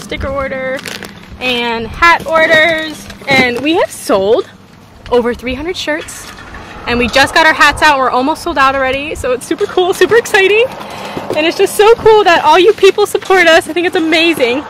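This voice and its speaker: A woman's voice: high voice, normal speed, noisy background. A young American woman hosting a vlog, talking casually and enthusiastically to the camera. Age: young adult. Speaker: fishing vlog host. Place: in a car, outdoors and on a boat deck.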